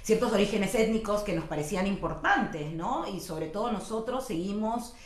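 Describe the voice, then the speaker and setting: A woman from Lima giving thoughtful documentary testimony with pauses. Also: mid-range voice, echoey room. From Lima, Peru. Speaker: interviewee.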